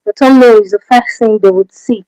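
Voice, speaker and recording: A woman's voice: a little high, a little fast, warm tone, no room sound, low-quality recording. Nigerian woman hosting a livestream Q&A. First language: Efik. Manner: repeats key points, asks rhetorical questions. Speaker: channel host. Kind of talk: livestream Q&A advice.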